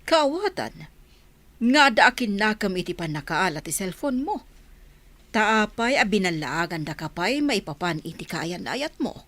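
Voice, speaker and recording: Woman playing a sympathetic godmother, lively intonation, Filipino accent, dry studio, radio drama. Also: medium pitch, unhurried, no room sound. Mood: concerned.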